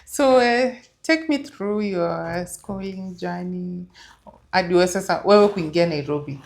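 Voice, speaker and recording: A woman's voice: fairly low pitch, measured pace, gravelly. A woman hosting an interview, asking questions and murmuring along as her guest talks. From Kenya. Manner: frequent soft backchannel murmurs. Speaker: host.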